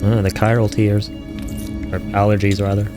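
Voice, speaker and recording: A man's voice: lowish voice, flat delivery, normal speed. A man giving enthusiastic, slangy, casual commentary as a streamer reacting to game trailers. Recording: loud game music under the voice.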